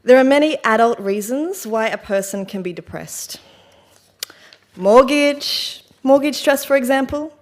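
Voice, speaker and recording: An actress playing a rattled, self-deprecating woman in a confessional stage monologue, speaking in a wry, halting, defensive way. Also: sits high, animated. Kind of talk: theatrical monologue, conversational and confessional.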